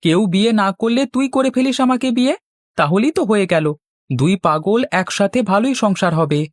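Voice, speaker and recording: A woman's voice: mid-range voice, lively intonation, normal speed, no room sound, clean studio sound, natural voice. A woman voicing a cheeky, boastful young village boy in a children's cartoon, with cocky back-talk. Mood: cocky, teasing.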